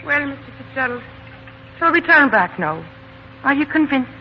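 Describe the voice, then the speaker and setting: An actress playing a polite, practical young woman, gently coaxing, in a 1940s radio play. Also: sits high, big pitch swings, normal speed. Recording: dull, noisy 1940s radio recording.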